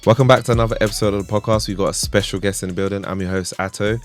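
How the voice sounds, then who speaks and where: A man's voice: on the low side, steady tone, racing, faint music behind. A man hosting a podcast, chatting casually as he interviews a guest. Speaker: podcast host.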